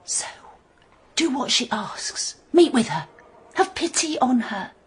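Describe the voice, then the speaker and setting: A woman's voice: sits high, sing-song, takes it slowly, husky, full character voice. A woman playing a sly, insistent serving maid who coaxes and insinuates in a period radio drama. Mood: insistent, pleading. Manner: coaxing, knowing, insinuating.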